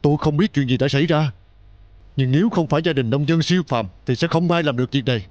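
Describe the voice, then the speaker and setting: A man's voice: fairly high pitch, quick pace, darkish tone, dry recording, highs cut off, natural voice. An actor playing a formal, earnest city mayor in a cartoon, entreating his listeners. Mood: pleading.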